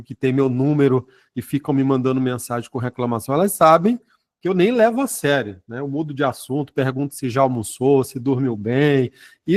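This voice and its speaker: A man in his forties explains things to his marketing network in a loose, conversational way. His voice sits a little high for a man. He keeps adding quick tag questions and stumbles into restarts as he goes. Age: 40s. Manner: repeats words and restarts often. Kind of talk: informal explanatory talk to a sales network.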